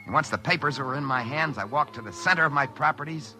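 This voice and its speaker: An American actor playing an excitable, work-shy schemer who stammers when flustered, in mid-century radio drama style. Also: male voice, fairly high pitch, quick pace, lightly acted. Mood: smug, musing. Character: work-shy scheming dreamer who finds a wishing machine. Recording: vintage radio recording with faint music.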